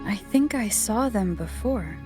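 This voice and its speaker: A woman's voice: mid-range voice, expressive, unhurried, close to an everyday voice. An actress playing an alert, determined young woman adventurer in a video game, musing aloud in short, focused remarks. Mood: focused, curious. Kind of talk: scripted game dialogue. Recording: loud music behind the voice.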